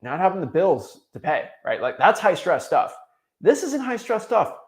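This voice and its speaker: A young man from Florida, an energetic coach who pushes hard in a fairly high voice. He stumbles over his words, restarts often, and checks in with "right?" after nearly every point. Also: normal speed. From Florida, USA. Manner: energetic, repetitive tag "right?", frequent restarts. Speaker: real estate wholesaling coach. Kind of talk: motivational talk to camera.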